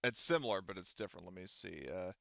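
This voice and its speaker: A man's voice: low-pitched, quick pace, creaky, a bit bright, no room sound, lo-fi sound. A man acting as game master in a casual tabletop roleplaying session, narrating and bantering.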